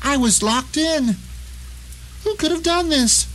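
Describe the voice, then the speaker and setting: A man's voice: very high voice, lively intonation, takes it slowly, fairly bright tone, pitched above the performer's own voice, exaggerated voice. A man playing a bitter, paranoid blind novelist who narrates his nightmare in a wry, grumbling, theatrical way for an audio drama. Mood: confused, irritated. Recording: noticeable rain and ambient noise behind.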